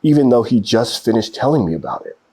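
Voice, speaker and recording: A man reading a children's picture book aloud in an expressive storytelling style. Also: mid-range voice, fast, breathy edge.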